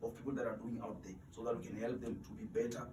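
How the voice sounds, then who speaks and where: A man's voice: medium pitch, steady tone, fast. A man in his twenties, an interview guest, talking earnestly about mentoring students and often repeating words while he searches for them. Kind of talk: motivational interview talk. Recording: echoey room, distant mic, faint noise.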